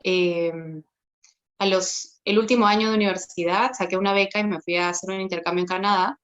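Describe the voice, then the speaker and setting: A woman tells her story at a middle pitch, thoughtful and unhurried. She often hesitates and trails into tag questions. The recording sounds somewhat dull and lo-fi. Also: steady tone, a bit bright. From Peru. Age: 30s. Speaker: guest giving a faith testimony. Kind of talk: personal testimony.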